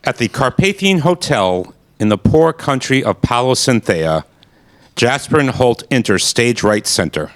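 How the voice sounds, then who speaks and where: A man hosting a radio playhouse, joking with the audience in a self-mocking way before reading out the stage directions. His mid-pitched voice moves animatedly up and down. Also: sing-song, unhurried. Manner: jokey, self-mocking, talking straight to the audience. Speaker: host and narrator. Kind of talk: comic host monologue and stage directions.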